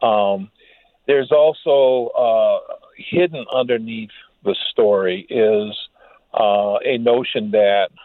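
A man speaking as an interview guest and historian, reflective and halting, with measured, formal wording. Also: lowish voice, dry recording. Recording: muffled phone-like line.

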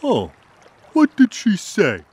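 An actor playing a big, gullible bear with a deep husky voice in a children's audio folktale. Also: huge pitch swings, slow, a little mellow, full character voice. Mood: curious, affronted.

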